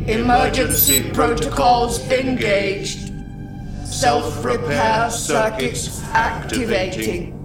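A woman's voice: a little high, slow. An actress playing an entranced telepathic woman who speaks for a ship's computer in fragmented, report-like phrases in a science fiction audio drama. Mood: entranced, flat. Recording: echoing, distant, loud music behind.

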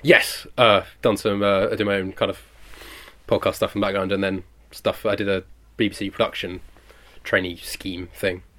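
A man's voice: sits low, little pitch movement, moderate pace, fairly bright tone. A British man in his twenties being interviewed as a producer, chatting casually in a modest, rambling way and correcting himself. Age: 20s.

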